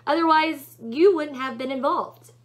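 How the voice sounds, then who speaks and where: A woman's voice: high-pitched, unhurried, pitched above the performer's own voice. A woman reading a storybook aloud, voicing an anxious, polite male angel with a fretful, prim manner. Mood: prim, concerned. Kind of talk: storybook read-aloud character voice.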